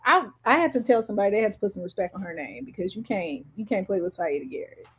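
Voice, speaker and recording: A woman's voice: medium pitch, lively intonation, quick pace, a bit bright, husky. A woman co-hosting a podcast, talking casually and emphatically about music as an opinionated fan. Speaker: podcast co-host.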